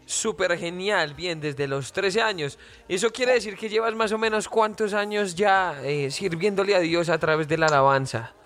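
A man's voice: sits high, moderate pace, hardly any pauses, fairly bright tone, faint music behind. A man with a Colombian accent hosting a radio show, interviewing a guest in a playful, joking way. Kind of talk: friendly interview.

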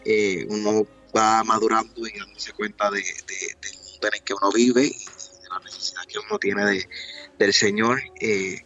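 A man in his twenties with a Puerto Rican accent, a gospel singer talking earnestly about his faith in a remote interview, with pauses and fillers. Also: lowish voice, steady tone, pushed and bright, dull audio, faint music behind. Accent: Puerto Rican Spanish. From Puerto Rico. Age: 20s.

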